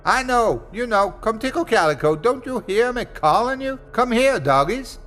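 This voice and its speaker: A man playing a lone old backwoods man, calling out in high, yelping dialect. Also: animated, moderate pace, a bit bright. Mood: frightened. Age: older. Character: old backwoods man living alone, easily scared.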